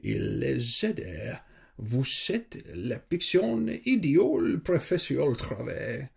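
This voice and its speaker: A solemn, weary wizard acted by a man talks in a fantasy roleplay. The voice is heavily gravelly and raspy, very slow and halting, with big swings in pitch. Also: big pitch swings, darkish tone, heavily acted. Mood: solemn, earnest. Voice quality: husky, gravelly. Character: weary long-reigning wizard seeking a successor. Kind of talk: ASMR fantasy roleplay film.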